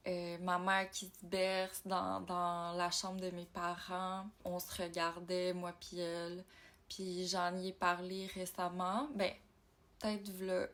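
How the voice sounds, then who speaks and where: A woman from Ontario, talking in a casual personal vlog, reflective and halting as she thinks aloud. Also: medium pitch. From Ontario, Canada. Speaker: YouTuber.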